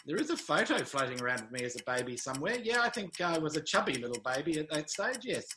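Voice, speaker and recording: A man's voice: fairly high pitch, sing-song, fast, bright, forceful tone, a little hiss or hum. A New Zealand man in his fifties, giving short, dry, good-humoured interview answers with hesitant fillers. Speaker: interview guest, travel sales manager.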